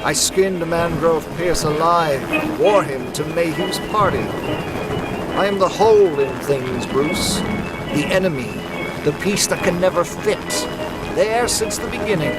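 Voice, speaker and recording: A man's voice: fairly high pitch, unhurried, loud music behind the voice, close to an everyday voice, low-quality recording. A man playing a cruel, grandiose criminal mastermind with theatrical, taunting menace in a motion comic. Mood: cold taunting. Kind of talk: animated motion comic acting.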